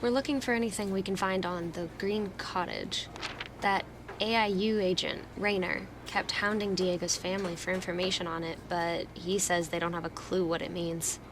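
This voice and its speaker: A woman's voice: fairly low pitch, steady tone, normal speed, close to an everyday voice. Casting: female actor, polite, curious amateur detective for audio drama, natural delivery, noticeable ambience behind. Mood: earnest, curious. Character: earnest, inquisitive amateur investigator, polite and thoughtful. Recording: clear background noise and ambience.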